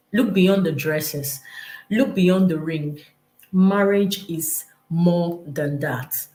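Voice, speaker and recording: A woman's voice: lowish voice, a little mellow. A woman with a Nigerian accent gives informal, motivational teaching on a live stream, speaking emphatically and conversationally with a lot of repetition. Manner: emphatic, repetitive, conversational, acting out imagined remarks. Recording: roomy, echoing live-stream sound.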